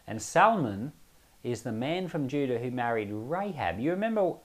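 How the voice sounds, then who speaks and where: A man speaking as a pastor, reading scripture aloud and explaining it informally, with expressive and emphatic phrasing. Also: mid-range voice, normal speed.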